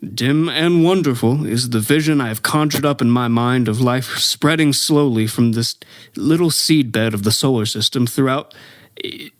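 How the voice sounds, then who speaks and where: A man plays a grave professor looking back on catastrophe in a radio play. His delivery is measured, literary and pensive. The sound is dry, with no room around the voice. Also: mid-range voice, no room sound, natural voice. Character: solemn male professor, survivor of a Martian invasion, reflective. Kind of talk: dramatic radio-play monologue, narrated memoir.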